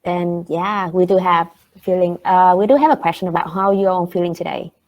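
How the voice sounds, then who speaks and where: A woman's voice: lowish voice, fairly quick, dull audio. Woman moderating a business webinar. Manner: scripted introductions with fillers. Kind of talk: event hosting.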